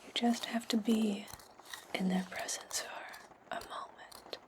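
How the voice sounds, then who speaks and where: A woman's voice: on the high side, fairly even intonation. Whispering, menacing witch, played by a woman. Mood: calm, calculating. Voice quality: breathy, unvoiced whisper. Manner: slow, deliberate, commanding. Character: menacing, controlling female witch commanding a servant. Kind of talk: whispered ASMR roleplay.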